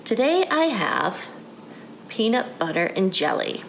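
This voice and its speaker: Female reader as cheerful storybook schoolgirl, self-assured, teasing, singsong, lively intonation. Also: medium pitch, measured pace, gravelly, roomy sound, a little hiss or hum, heavily acted, poor audio. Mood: coy. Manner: singsong, playful.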